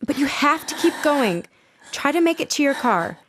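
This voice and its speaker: Woman acting an anxious, high-voiced radio host. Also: sing-song, moderate pace, a bit bright, slight background noise. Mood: alarmed, urgent. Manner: urgent, insistent questioning. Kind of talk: modern radio drama acting.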